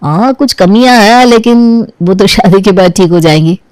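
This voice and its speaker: A woman's voice: mid-range voice, moderate pace, darkish tone, no echo, clean studio sound, close to an everyday voice. A polite young man acted by a woman storyteller, the supportive younger brother in a family tale. He speaks reassuringly and agreeably, with very little room sound. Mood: agreeable.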